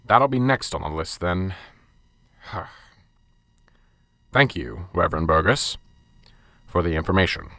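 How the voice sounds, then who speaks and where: A man's voice: low voice, animated, unhurried, pauses often, sharp tone, dry recording, studio quality, full character voice. A man playing a fed-up, sarcastic police sergeant. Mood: weary. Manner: curt, exasperated, sarcastic. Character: stressed, blunt male police sergeant, short-tempered. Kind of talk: amateur radio drama.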